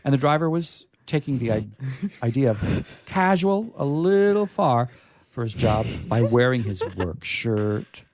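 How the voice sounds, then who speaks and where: A man hosting a radio show, reading stories aloud in a theatrical, deliberate, emphatic way with casual asides. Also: medium pitch, sing-song, a little mellow, dry recording, studio quality.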